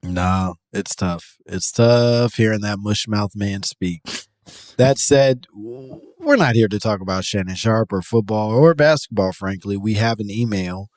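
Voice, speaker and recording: A man's voice: lowish voice, measured pace, darkish tone, dry recording. Man hosting, profane joking chat, dry sound. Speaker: podcast host. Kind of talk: casual comedic chat.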